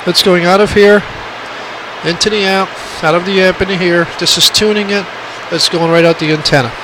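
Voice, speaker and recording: A man's voice: high voice, fairly even intonation, a little fast, background noise. A man giving an informal hands-on tutorial in a plainspoken, emphatic way, repeating his points, on an amateur phone recording. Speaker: radio hobbyist demonstrating equipment.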